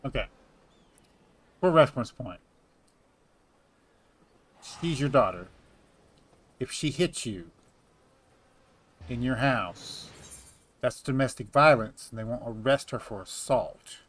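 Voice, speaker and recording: A man's voice: medium pitch, expressive, takes it slowly, pauses often, fairly bright tone, husky. A man in casual, informal chat while playing a game, with loose colloquial phrasing, on a slightly noisy mic. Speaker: gaming channel co-player. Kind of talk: casual chat during gameplay. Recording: faint background noise on mic.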